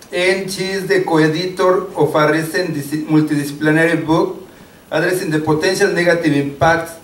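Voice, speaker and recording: A man in his forties from Mexico City, a native Spanish speaker with a Mexican accent, moderating a public meeting from a lectern. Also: on the high side. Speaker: event moderator, activist. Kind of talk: informal public speech, partly read introduction. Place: wood-panelled community hall, at a lectern. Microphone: mic on stand. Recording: echoey hall, distant mic, faint noise.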